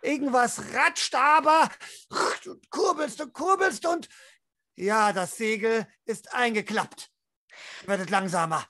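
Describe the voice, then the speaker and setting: A game master narrating a tabletop role-play dramatically, with vivid descriptions and suspenseful pauses. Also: mid-range voice, sharp tone, no room sound, clean studio sound. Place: indoor room, video call.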